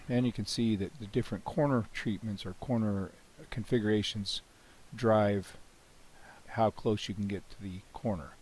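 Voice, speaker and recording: A man with a cold, hoarse and often clearing his throat, casually talking through a software demo with many "you know"s and restarts. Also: fairly low pitch, measured pace, poor audio. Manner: relaxed, rambling, frequent "you know" and restarts. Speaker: software developer demonstrating his plugin. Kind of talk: screen-recorded software walkthrough.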